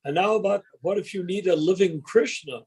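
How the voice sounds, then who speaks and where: A man's voice: sits high, a little fast, darkish tone, slightly rough. A male co-host on a video call, speaking wryly and arguing his point with sarcastic jokes. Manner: wry, argumentative, sarcastic jokes. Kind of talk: casual video-call discussion.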